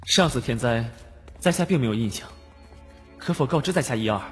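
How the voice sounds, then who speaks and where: A man voicing a wary, courteous young Taoist swordsman with formal, measured phrasing in an audiobook. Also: mid-range voice, lively intonation, pitched above the performer's own voice, close to an everyday voice. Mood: curious, polite. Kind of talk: audiobook character acting. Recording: long hall reverb, music behind.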